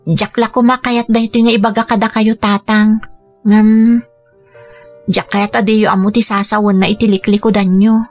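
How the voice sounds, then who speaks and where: A woman's voice: mid-range voice, level tone, moderate pace, a little mellow, soft background music, natural voice. A woman playing an earnest young student daughter, hurt on her father's behalf, in an Ilocano-accented Filipino radio drama style. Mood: hurt, aggrieved. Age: young adult.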